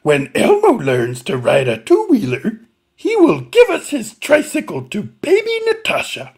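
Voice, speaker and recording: A man voicing an excitable furry little monster puppet, reading a children's picture book in a strained, throaty voice. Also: high voice, breathy edge, voice pushed up, full character voice. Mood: earnest, instructive. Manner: emphatic, swooping, deliberate reading to small children.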